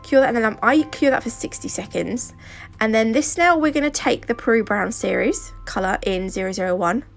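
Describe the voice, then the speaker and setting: Stuffy-nosed British woman, gravelly edge. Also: on the high side, lively intonation, fairly quick, faint music behind. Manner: chatty and rambling, with fillers and asides. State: sore throat, stuffy nose. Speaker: nail tutorial vlogger. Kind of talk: casual how-to narration.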